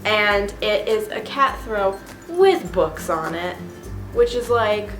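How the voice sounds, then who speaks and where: A woman's voice: mid-range voice, expressive, slow pace, a bit bright. A young woman with a stuffy, sniffly cold, chatting casually and gushing about her monthly favorites at home. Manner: chatty and gushing, with many fillers. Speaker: beauty vlogger. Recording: roomy echo with music behind.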